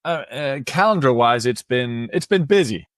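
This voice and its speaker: A man in a band, answering interview questions in halting speech with many pauses. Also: mid-range voice, lively intonation, dry recording, clean studio sound. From Denmark. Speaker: band member.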